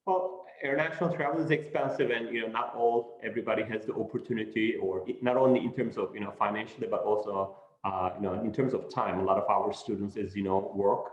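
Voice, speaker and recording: Man from Turkey, middle-aged, professor, unhurried, "you know" fillers, low voice, dull lo-fi sound. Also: steady tone. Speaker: professor of international business. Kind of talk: relaxed interview answers. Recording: echoey room, dull lo-fi sound.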